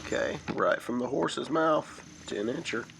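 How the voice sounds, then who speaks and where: A hoarse man in his thirties, calmly narrating his fishing from a kayak on a creek. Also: medium pitch. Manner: slow, steady explaining. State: hoarse from a cold. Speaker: kayak angler. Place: kayak on a wooded creek outdoors. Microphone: camera mounted behind him on the kayak. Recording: noisy outdoor camera audio.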